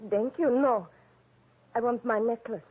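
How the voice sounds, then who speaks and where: High husky young Dutch woman. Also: measured pace. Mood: matter-of-fact, insistent. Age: young adult. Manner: foreign, inverted word order, insistent. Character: blunt young Dutch bride demanding her necklace. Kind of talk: 1940s radio acting. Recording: muffled old radio recording.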